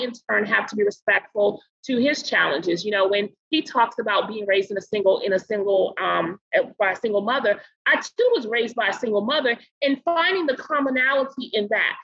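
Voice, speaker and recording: A middle-aged woman from Barbados, a podcast guest, talking in an earnest, measured way and slowing down to stress her points. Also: on the high side, a bit bright, dull audio. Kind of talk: casual interview talk.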